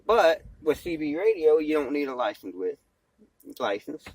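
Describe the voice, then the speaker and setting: A man's voice: on the high side, big pitch swings, moderate pace, no room sound, rough recording. A man talking casually and plainly into a cell phone, in a folksy, slangy, rambling vlog style. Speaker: amateur vlogger and CB radio hobbyist, former truck driver.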